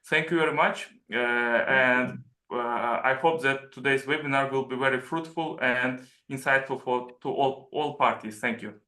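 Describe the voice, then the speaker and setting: A man speaking for an embassy, with a bright, forceful tone and very little pitch movement. His formal remarks come out hesitantly, full of fillers. He sounds like he is in an echoey room. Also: steady tone, normal speed. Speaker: embassy official. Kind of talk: formal webinar opening remarks. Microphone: video call.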